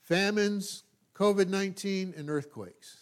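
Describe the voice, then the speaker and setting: A man with a Californian American accent giving an informal, conversational sermon as a pastor, folksy and self-deprecating, often halting and checking in with his listeners. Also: sits high. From California, United States.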